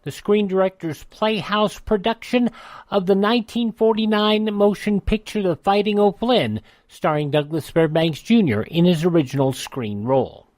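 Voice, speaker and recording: A woman's voice: mid-range voice, normal speed, a little mellow, dry recording. A woman announcer reading a scripted introduction for a radio show.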